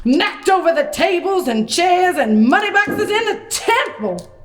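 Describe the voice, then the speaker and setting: A woman voicing a folksy, excitable male prophet puppet who tells stories theatrically in a children's puppet show. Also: very high voice, heavily acted. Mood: folksy reminiscing. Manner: folksy, theatrical, drawn-out delivery.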